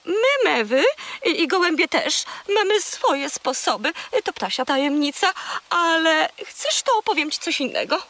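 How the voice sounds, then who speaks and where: A woman's voice: high-pitched, very animated, normal speed, fairly bright tone, dry recording, full character voice. A woman voicing a boastful, chatty, hungry female seagull in a children's audio play, haughty and dramatic. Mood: boastful storytelling.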